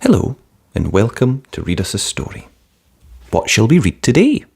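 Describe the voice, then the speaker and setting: A man presenting and narrating a children's fairytale in an expressive read-aloud style. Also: fairly low pitch, moderate pace, creaky, breathy edge. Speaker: storybook narrator and channel presenter.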